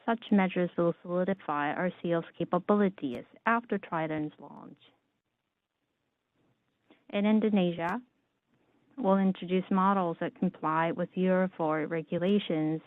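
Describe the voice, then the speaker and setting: A woman giving a formal scripted business presentation as a conference call interpreter, reading in a measured, orderly way. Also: fairly low pitch, steady tone, no room sound. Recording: narrow, dry phone-like line.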